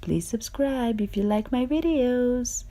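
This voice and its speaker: A woman reading a picture book aloud for children in an expressive storytelling style. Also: on the high side. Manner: slow, expressive delivery for children. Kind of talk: picture-book read-aloud with acted characters.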